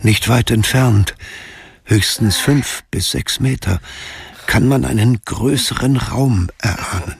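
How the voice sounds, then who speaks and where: A man narrating a cave thriller radio play in a measured, ominous, deliberate way. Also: mid-range voice, vocal fry, breathy edge, heavily acted. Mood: suspenseful.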